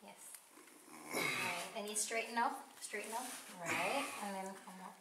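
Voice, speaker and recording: Female caver, casual directions, far from mic, very loud noise. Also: mid-range voice, measured pace. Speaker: caving team member. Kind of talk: casual chat and directions while caving. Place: inside a limestone cave. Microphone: camera mic from a distance. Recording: distant, echoey, very noisy cave.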